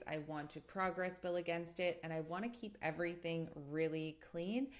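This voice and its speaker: A woman narrating a step-by-step software tutorial in a methodical, explanatory way as an instructor. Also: on the low side.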